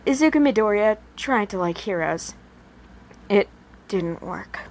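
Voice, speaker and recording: A woman's voice: medium pitch, animated, unhurried, a little mellow, a little hiss or hum. Woman reading fiction aloud. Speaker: podfic reader. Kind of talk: scripted narration, amateur audiobook reading of fan fiction.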